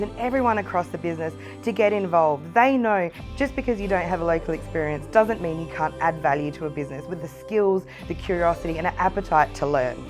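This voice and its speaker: A woman representing a partner organisation, speaking in a formal, promotional corporate interview. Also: on the low side.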